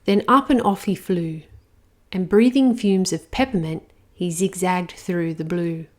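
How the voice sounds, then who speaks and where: A woman reading a rhyming children's picture book aloud, expressive and even. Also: fairly low pitch. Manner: slow, expressive rhymed reading. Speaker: storyteller.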